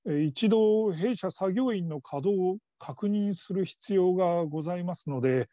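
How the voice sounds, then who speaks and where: Man acting a hesitant, slow-spoken call-centre father. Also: high-pitched, warm tone, no room sound. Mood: stiffly polite. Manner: hesitant, stammering, stiffly formal customer-service politeness. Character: flustered father working as a polite call-centre operator. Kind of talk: radio drama acting. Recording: dull lo-fi audio.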